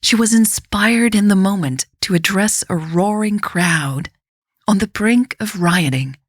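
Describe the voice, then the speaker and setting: A woman storyteller reading scripted fiction like a book, in a measured, reflective and deliberate way. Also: medium pitch, no echo, clean studio sound. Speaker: podcast storyteller.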